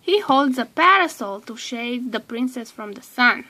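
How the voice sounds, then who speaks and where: A woman with a fairly high voice reads a children's storybook aloud. She goes very slowly and deliberately, stretching certain words as she narrates. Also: expressive, bright, forceful tone.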